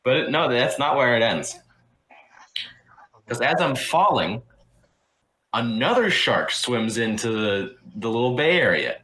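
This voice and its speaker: A man's voice: mid-range voice, very animated, a little slow, long pauses, fairly bright tone, echoey room, low-quality recording. A young man hosting a podcast, telling a story in a loose, rambling way with lots of restarts and casual swearing. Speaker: podcast host.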